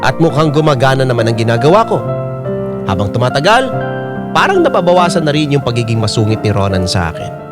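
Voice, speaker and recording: A man acts out a flamboyant Filipino sweetheart in a radio drama. The delivery is campy and swoops widely in pitch. The character is playful, clingy and stubbornly devoted. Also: lightly acted. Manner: campy, giggly, exclamatory. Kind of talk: Tagalog radio drama acting and narration.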